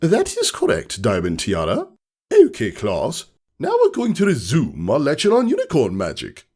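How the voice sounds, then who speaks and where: A man acts out an old female pony schoolteacher in a storybook tale. She is stern and superior, scolding in clipped phrases, with her voice pushed well up from a deep natural register. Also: huge pitch swings, moderate pace, a little mellow, breathy edge. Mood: prim, instructive.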